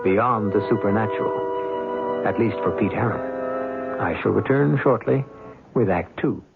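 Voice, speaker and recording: Casting: male host for vintage suspense radio, lowish voice, deliberate and teasing, music underneath. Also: creaky. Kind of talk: scripted suspense narration addressed to the listener. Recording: tinny old radio recording, music behind.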